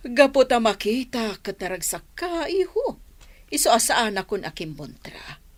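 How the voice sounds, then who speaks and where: A lively, supportive radio-drama mother. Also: fairly high pitch, measured pace. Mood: pleased, supportive. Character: supportive, happy mother of a grown son. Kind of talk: Ilocano radio drama acting.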